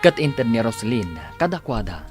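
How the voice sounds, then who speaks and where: A man narrating a radio drama as announcer, measured and dramatic. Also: medium pitch, very animated, over music.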